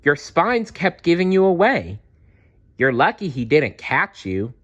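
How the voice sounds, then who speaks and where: A man voicing a young, eager, caring female dinosaur in a storybook, with exclaiming, drawn-out emphasis. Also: on the high side, sing-song, a bit bright, lo-fi sound, pitched above the performer's own voice, exaggerated voice. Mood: anxious concern.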